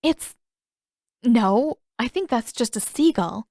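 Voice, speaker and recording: A woman's voice: a little high, animated, slow pace, a little mellow, no echo, voice pushed up, heavily acted. A woman voicing a defiant, flustered teenage girl who argues emphatically in a narrated fantasy story. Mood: flustered, defensive. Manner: indignant, emphatic.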